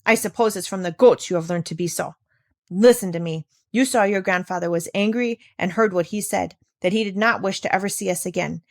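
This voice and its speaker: Woman playing a brisk, bossy storybook aunt. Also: medium pitch, dry recording, clean studio sound, natural voice. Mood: hurried, coaxing. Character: brisk, bossy, impatient adult aunt, coaxing a child.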